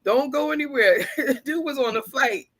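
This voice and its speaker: A woman hosts a podcast in a casual, chatty way. Her voice sounds a little congested from the flu, and she talks with animated energy. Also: high voice, normal speed. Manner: chatty, animated storytelling with laughs. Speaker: podcast host.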